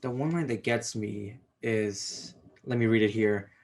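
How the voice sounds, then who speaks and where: A man's voice: on the low side, a little slow. A man moderating an interview, asking questions and responding conversationally.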